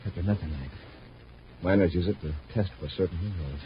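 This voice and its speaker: An actor playing a steady, authoritative space commander in a 1950s radio drama, on an old recording. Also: male voice, sits low, a little fast, lightly acted. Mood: composed. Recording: muffled, noisy vintage radio recording.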